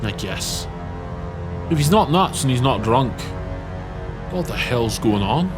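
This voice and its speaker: Male crewman, played by an actor: casual, puzzled, average register, sci-fi drama over clear music. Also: medium pitch, expressive, slow. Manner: informal. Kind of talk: science fiction audio drama acting.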